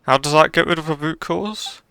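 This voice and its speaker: A man's voice: fairly high pitch, normal speed, bright, forceful tone, clean tone, no echo. A man giving casual, off-the-cuff commentary as he streams a game. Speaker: gaming streamer reacting to a story quest.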